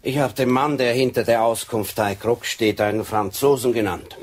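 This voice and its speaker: An actor playing a methodical police sergeant in a radio crime drama, speaking deliberately and insistently with a husky voice. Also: male voice, medium pitch, steady tone, close to an everyday voice. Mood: methodical, pointed. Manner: deliberate, insistent, explaining step by step. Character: methodical Swiss police sergeant laying out a case.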